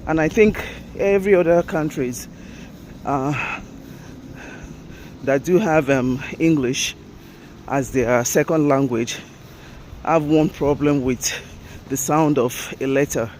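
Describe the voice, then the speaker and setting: Nigerian guy vlogging on a walk outside, laid back and unhurried, clear road noise around. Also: a little high, a little mellow. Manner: chatty, laughing, drops in Japanese fillers. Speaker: English teacher. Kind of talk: casual vlog while walking. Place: outdoors by a road. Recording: outdoor traffic noise.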